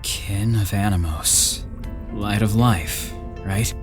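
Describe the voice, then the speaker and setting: A man's voice: lowish voice, slow. A man playing a curious, hesitant newcomer in a fantasy audiobook, questioning as he speaks. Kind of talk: dramatised fantasy audiobook acting. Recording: faint music under the voice.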